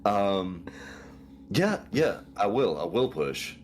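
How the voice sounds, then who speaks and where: Deep, animated man playing a tabletop game. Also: slow. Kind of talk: casual game chat.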